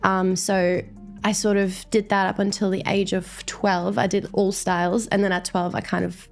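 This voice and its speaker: A young Australian woman talks through her story in a chatty, upbeat way, her voice carrying a gravelly edge. Soft music plays faintly behind her. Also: medium pitch, little pitch movement, normal speed. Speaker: guest dancer. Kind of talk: casual interview chat.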